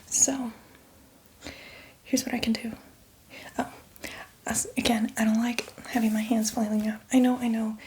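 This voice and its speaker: A woman's voice: fairly high pitch, level tone, a little slow, pauses often, darkish tone, a little hiss or hum, natural voice. Woman playing a whispering, chatty zombie in ASMR. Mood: apologetic, soothing. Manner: rambling, reassuring, casual. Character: chatty female zombie, eager, apologetic, fussy, casually bloodthirsty.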